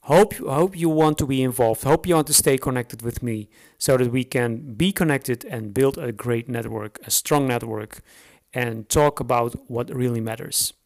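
A man with a Dutch accent talks straight to his listeners as the host of his own podcast. His English is a second language, and he speaks off the cuff, stopping often to restart a sentence or fill a gap. His voice sits at an ordinary middle pitch for a man. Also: moderate pace, darkish tone. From the Netherlands. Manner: hesitant, unscripted, frequent fillers and restarts. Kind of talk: solo podcast introduction.

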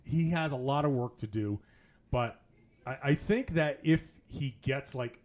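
A man's voice: medium pitch, unhurried, a little mellow. Man, podcast host, casual chat, chatty, laughs often, restarts sentences. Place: in-person recording in Las Vegas.

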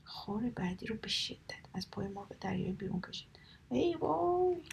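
A woman narrating a children's story entirely in a soft whisper. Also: mid-range voice, level tone, gravelly. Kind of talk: whispered bedtime story, ASMR.

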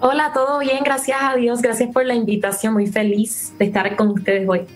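Female guest, Puerto Rican accent, video call audio, lively and grateful. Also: a little high, moderate pace, soft background music. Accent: Puerto Rican Spanish. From Puerto Rico. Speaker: singer guest. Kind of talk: interview over video call.

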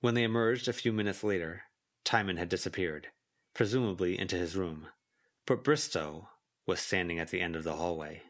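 A man's voice: sits low, normal speed. A man reading a story aloud as an audiobook narrator, in scripted narration. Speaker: volunteer audiobook reader. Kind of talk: scripted fiction narration with character voices.

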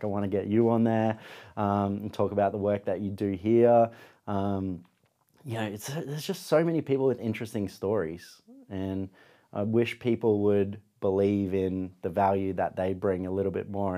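Mellow-voiced man, earnest and reflective. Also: fairly low pitch. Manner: reflective, earnest, trails off softly at ends of thoughts. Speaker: podcast host and photographer, interview guest. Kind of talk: casual interview conversation.

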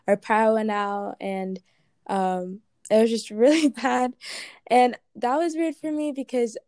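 A woman's voice: fairly high pitch, steady tone, unhurried, dark tone, dry recording. Woman, from Ghana, American accent, casual interviewee, lots of fillers, dry close sound. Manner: chatty, many "like" and "um" fillers.

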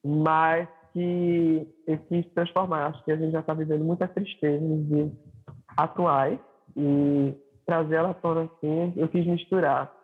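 A high-voiced man with a Pernambuco accent talks over a remote call, thoughtful and hesitant with many fillers. His tone is husky, and the sound is thin and tinny like a phone line. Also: little pitch movement, measured pace. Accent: Pernambuco Portuguese. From Recife, Brazil. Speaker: singer-songwriter guest. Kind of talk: casual interview chat.

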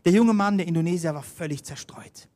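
A man's voice: high-pitched, fairly quick, a little mellow. A man preaching a sermon, telling mission stories, reading scripture aloud and calling for the next slide. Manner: storytelling, reads scripture aloud, directs slides.

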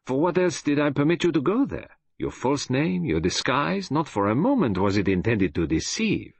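A man voicing a courteous, shrewd detective in an audiobook, with a gentle, precise, self-assured manner. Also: mid-range voice, big pitch swings, moderate pace, gravelly, slightly rough, dry recording, voice pushed up, heavily acted. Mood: pleased, explaining. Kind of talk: audiobook character voice.

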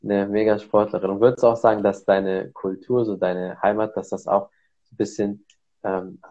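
Brief: fairly low male host, chatty explainer, muffled lo-fi audio. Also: level tone, normal speed, warm tone. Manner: explains at length, many fillers. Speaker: podcast host. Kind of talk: casual podcast conversation.

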